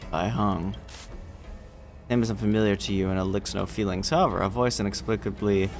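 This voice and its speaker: A man's voice: on the low side, fairly even intonation, fairly quick, faint music behind. A man on a gaming livestream, reading game text aloud and making offhand comments. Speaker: livestreaming gamer reading along.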